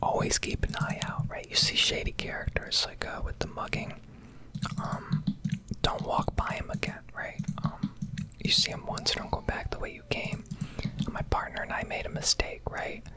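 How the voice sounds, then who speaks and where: A young man from the United States whispers a candid, sweary, conversational ASMR ramble in a breathy, unvoiced voice, close to the microphone. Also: moderate pace. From United States, Connecticut. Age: young adult. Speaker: ASMR streamer. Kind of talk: whispered ASMR rambling rant over gameplay.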